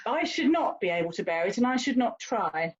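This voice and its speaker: A dull, slightly lo-fi recording of a woman acting a haughty young lady in a period stage drama. Her voice sits fairly high and swoops about as she lectures like a worldly, condescending older sister, stressing her points theatrically. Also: very animated, brisk.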